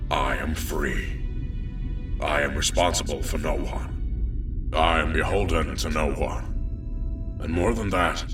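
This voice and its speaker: A man playing a noble, weary robot war leader in an audio drama, speaking in solemn, measured oratory. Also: very deep voice, recorded from a distance.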